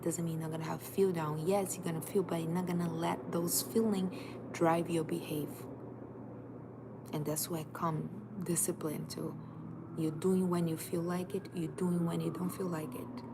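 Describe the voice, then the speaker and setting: Woman in her thirties, life coach, emphatic and direct, lowish gravelly voice. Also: level tone, moderate pace. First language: Portuguese. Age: 30s. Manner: emphatic, direct, repetitive second-person urging. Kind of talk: motivational livestream talk. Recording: noisy background.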